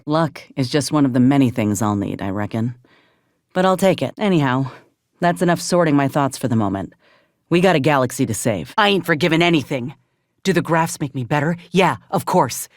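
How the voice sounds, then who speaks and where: Earnest, young spacefarer. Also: female voice, sits low, no echo, studio quality. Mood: wry, hopeful, then defensive. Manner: folksy, plainspoken, earnest. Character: earnest, principled young female spacefaring companion, folksy and wounded. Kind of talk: video game companion dialogue acting.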